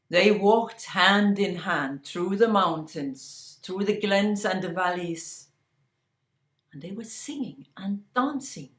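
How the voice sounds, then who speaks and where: A woman telling a fairy tale slowly and deliberately, sometimes dropping to a hushed whisper. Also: medium pitch, reverberant. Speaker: storyteller. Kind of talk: oral fairy-tale storytelling.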